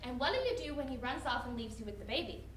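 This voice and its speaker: A woman's voice: a little high, lively intonation, fast, lightly acted. A woman playing a stern, controlling mother who is scolding and dismissive, in a staged dramatic reading. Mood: angry. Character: stern, controlling middle-aged mother, scornful of her daughter. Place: live presentation in a room at a college. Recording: distant mic in echoey, slightly noisy room.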